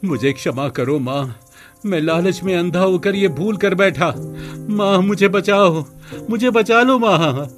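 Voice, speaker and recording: A man playing a greedy village milkman in an animated moral story, pleading dramatically and fearfully. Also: sits high, a little slow, faint music behind. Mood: begging. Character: greedy village milkman, frightened, begging for mercy.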